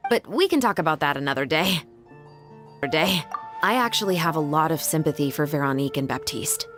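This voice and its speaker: A woman playing a resolute, composed young patrol officer in a video game, speaking in an earnest, measured, formal way. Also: sits low. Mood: reflective. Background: background music.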